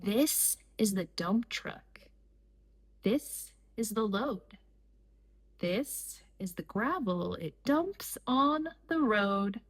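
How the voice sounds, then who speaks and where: A woman reading a picture book aloud for young children in deliberate, measured phrases with pauses between short lines. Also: mid-range voice, animated, breathy edge. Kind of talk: slow read-aloud storytime for young children.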